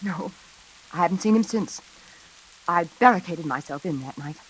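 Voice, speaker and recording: An actress playing a frightened, high-strung woman in an old-time radio suspense drama, speaking in an anxious, halting, pleading way. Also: expressive, breathy edge, poor audio. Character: frightened, high-strung woman haunted by a convict's revenge threat.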